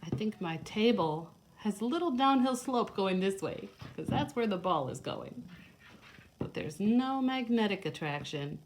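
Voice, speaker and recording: A woman's voice: medium pitch, expressive, unhurried, a little mellow. Woman teaching children, noisy homemade recording. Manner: simple, step-by-step explaining, thinking aloud. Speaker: children's teacher reading aloud and demonstrating. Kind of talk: hands-on read-aloud lesson for young children, alternating reading and casual narration. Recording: noticeable background noise, homemade video.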